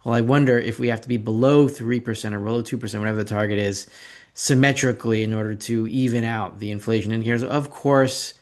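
A man's voice: fairly low pitch, a little mellow. A man hosting an investing video report, talking through charts in a casual, opinionated, rambling way with sarcastic asides. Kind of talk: casual market commentary with slides.

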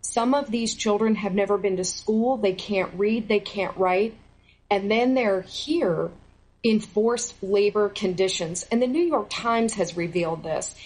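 A middle-aged woman giving an interview, her voice sitting at an ordinary pitch for a woman. She speaks earnestly and with indignation, leaning hard on the words she wants to land. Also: a little slow, darkish tone, low-quality recording. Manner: emphatic, indignant, stresses key words. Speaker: interview guest, former federal employee.

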